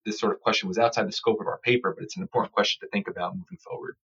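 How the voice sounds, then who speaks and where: A man's voice: fairly low pitch, fairly even intonation, very fast, gravelly, fairly bright tone, breathy edge. A man speaking as a surgeon and researcher in an interview, explaining formally and technically, on dull lo-fi audio. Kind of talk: interview discussion of surgical research. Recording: dull, lo-fi remote-sounding audio.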